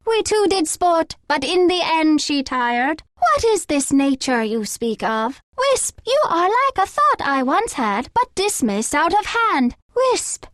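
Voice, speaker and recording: A small, ageless faerie dragon from a video game, with a high, girlish voice. The delivery is slow and very melodic, mixing childish mischief with aloof, alien hauteur. Phrasing is formal and archaic. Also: fairly bright tone, no echo, clean studio sound, exaggerated voice. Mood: taunting, haughty, then aloof, curious, then wry. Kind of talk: video game character voice lines.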